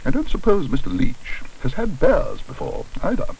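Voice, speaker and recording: A man voicing a brisk, bossy, sharp-tongued woman in a children's storybook. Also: fairly high pitch, wildly swooping pitch, creaky, a little hiss or hum, voice pushed up, exaggerated voice, low-quality recording. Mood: indignant.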